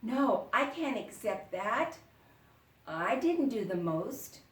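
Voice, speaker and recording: Woman playing an eager young folktale son, high and echoing. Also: big pitch swings, takes it slowly. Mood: modest, refusing. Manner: bold, emphatic calling out. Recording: roomy echo, distant microphone.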